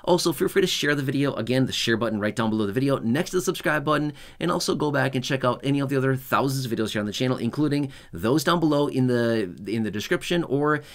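A man's voice: medium pitch, fast talker, few pauses. Chatty man vlogging to camera. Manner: conversational, keeps checking in with "okay?" and "right?"; repetitive, reassuring, animated delivery. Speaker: YouTube channel host. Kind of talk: talking-head explainer vlog. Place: small indoor home studio room. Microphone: fixed camera at chest-up framing.

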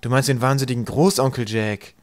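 A man plays a well-behaved young boy in a comic storybook. He sounds earnest and naive, pitched a little higher, always polite. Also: fairly even intonation, fairly quick, no room sound, studio quality, lightly acted. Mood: curious. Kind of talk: comic children's audiobook character.

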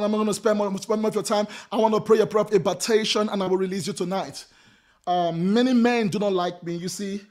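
A man in his thirties preaching over a video call from a small room at home, hoarse from losing his voice, shouting in a chanting cadence with a grunted "uh" between phrases and breaking into tongues. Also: high-pitched. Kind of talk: charismatic sermon with shouting and speaking in tongues. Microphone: webcam in front of him, video call.

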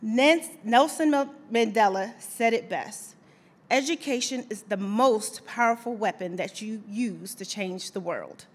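A woman's voice: fairly high pitch, unhurried. A middle-aged woman reading a formal introduction at a podium in an emphatic, declamatory way. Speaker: introducer, university alumna. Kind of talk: formal scripted introduction speech. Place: auditorium stage, indoors. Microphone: podium gooseneck microphone.

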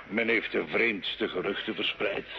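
Gruff, bitter drunkard monk for period radio play; low, gravelly, guarded. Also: male voice, measured pace. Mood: bitter, confiding. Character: world-weary, bitter, hard-drinking Dominican friar. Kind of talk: old radio drama acting. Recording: dull old radio recording.

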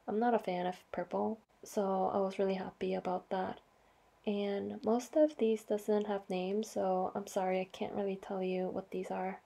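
A woman talks through her craft projects in a soft, chatty voice-over with fillers and small apologies. Her voice is mellow and dark, with a gravelly edge. She sounds slightly hoarse from a cold. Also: mid-range voice. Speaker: vlogger. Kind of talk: casual show-and-tell narration.

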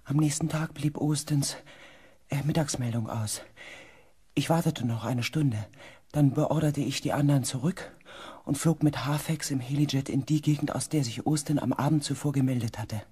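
An actor playing a grave expedition member who narrates in the first person, measured and sometimes hushed, in a sci-fi radio play. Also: male voice, medium pitch, husky. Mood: grave, eerie. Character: grave male expedition member recounting an eerie mission.

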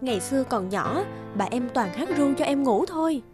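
An actress playing a gentle, affectionate wife in a cartoon. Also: female voice, sits high, lively intonation, normal speed. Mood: fond. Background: prominent instrumental music.

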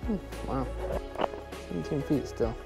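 A man's voice: fairly high pitch, animated, unhurried, vocal fry, over loud music. A young man vlogging casually outdoors on a frozen lake, chatting upbeat to viewers about his fishing gear, recorded on an action camera. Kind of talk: casual outdoor vlog chat.

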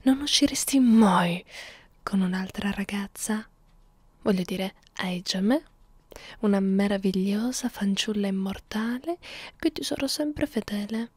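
A woman voicing a hungry, clingy vampire girlfriend in an intimate ASMR roleplay, murmuring softly and slipping into breathy whispers. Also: medium pitch, dry recording. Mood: pouting, defensive. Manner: coaxing, halting, close-up murmuring with small hums. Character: hungry immortal female vampire girlfriend, clingy, teasing, predatory.

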